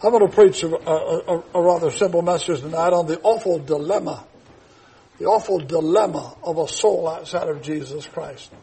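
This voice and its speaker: Gravelly-voiced preacher in his seventies. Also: on the high side, normal speed, rough recording. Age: 70s. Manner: emphatic preaching, swells loud on scripture. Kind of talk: sermon with prayer and scripture reading. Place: church.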